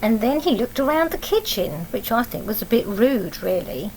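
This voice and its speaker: High-voiced English woman reading a storybook. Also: moderate pace, a little hiss or hum, poor audio. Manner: expressive, explaining, asks tag questions. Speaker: teacher reading to children. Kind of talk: storybook read-aloud with asides to young children.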